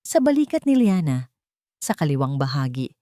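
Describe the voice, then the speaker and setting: A woman's voice: sits low, very animated, moderate pace, mellow, dry recording, clean studio sound. A woman reading a scripted dramatic story as narrator.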